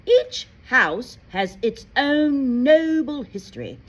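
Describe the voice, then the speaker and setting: A woman voicing a stern, formal senior witch professor in a storybook, with declamatory, deliberate delivery. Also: high voice, sing-song, pitched above the performer's own voice, full character voice. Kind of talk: storybook character voice.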